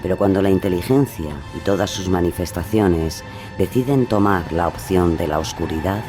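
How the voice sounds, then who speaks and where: Un hombre con acento de España narra de forma solemne y pausada un relato guionizado de crímenes reales. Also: male voice, low voice, music in the background. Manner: solemn, measured. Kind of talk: scripted true-crime narration.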